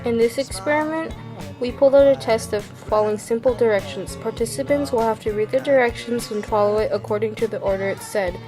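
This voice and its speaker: A woman's voice: a little high, a little fast, music in the background. A young woman plainly reading out the steps of a class experiment. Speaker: student presenter. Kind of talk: reading a narration script.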